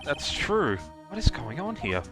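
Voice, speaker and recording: A man's voice: a little high, big pitch swings, a little slow, a bit bright, faint music behind. A man voicing an earnest young defense attorney in a live fan dub, laying out his case step by step. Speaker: fan voice actor.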